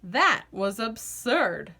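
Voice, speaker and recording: A woman reading a children's picture book aloud as an expressive narrator, with deliberate phrasing and dramatic pauses. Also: mid-range voice, creaky, husky. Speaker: children's storybook reader.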